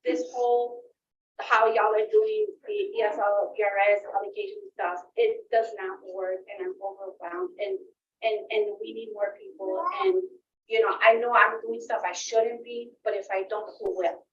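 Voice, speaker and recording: A woman's voice: on the high side, normal speed. A woman giving impassioned public comment as a school staff member, emphatic and repeating her phrases to press the point. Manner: emphatic, repeats phrases, checks with right and okay. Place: indoor public meeting room. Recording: echoey meeting room, distant muffled mic, faint noise.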